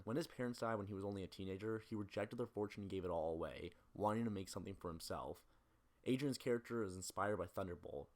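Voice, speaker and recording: A man narrating a scripted analytical video essay as the presenter. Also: sits low.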